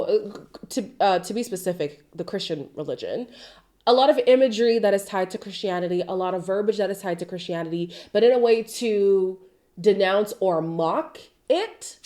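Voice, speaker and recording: Chatty Zimbabwean woman, voice sick. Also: medium pitch. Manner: chatty and expressive, with side tangents. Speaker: commentary channel host. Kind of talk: casual pop-culture commentary.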